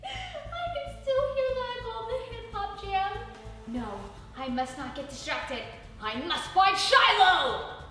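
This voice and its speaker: Woman playing a high, excitable, dramatic young girl. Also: slow pace, faint music behind, exaggerated voice. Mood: excited. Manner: pleading, emphatic exclamations. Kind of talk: children's storybook character reading. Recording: echoey room, distant mic.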